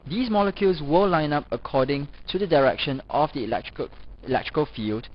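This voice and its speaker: A man reading scripted educational narration as a lecturer, in a formal, measured manner. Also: fairly high pitch. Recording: dull, lo-fi voice-over recording.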